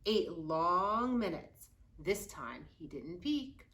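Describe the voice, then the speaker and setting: A woman reading a children's picture book aloud with expressive, dramatic storytelling and drawn-out key words. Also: lowish voice. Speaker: youth services librarian reading aloud.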